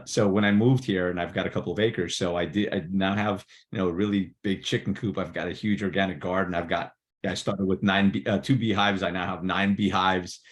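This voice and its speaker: A man born in Portugal, Portuguese his first language, telling his life story as a podcast guest, rambling with fillers and restarts. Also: low voice. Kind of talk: casual interview storytelling. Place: New Jersey.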